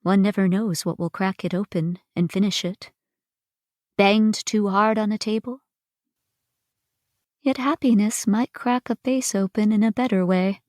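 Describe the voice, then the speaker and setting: A woman giving an expressive poetry reading, deliberate and pausing between phrases. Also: medium pitch, dry recording, studio quality. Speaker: reader of poetry. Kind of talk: dramatic poetry recitation.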